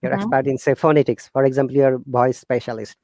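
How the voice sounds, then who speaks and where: Bangladeshi-accented man, earnest and halting. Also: medium pitch, dry recording, clean studio sound. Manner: earnest, animated, halting with fillers and restarts. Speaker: guest teacher. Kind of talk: casual interview talk.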